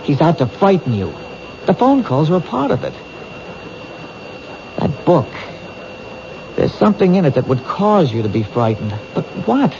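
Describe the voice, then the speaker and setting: An actor playing a dogged police detective in a 1970s radio drama, pressing with urgent questions. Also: male voice, on the high side, sing-song, moderate pace, long pauses. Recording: hissy lo-fi old radio broadcast.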